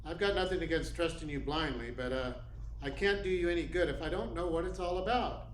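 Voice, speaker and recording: A man's voice: on the high side, a little fast, natural voice. A man playing a cynical private detective in a noir radio play, terse, wry and slangy. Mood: probing. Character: hard-boiled, cynical male private detective. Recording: roomy echo, clear background noise.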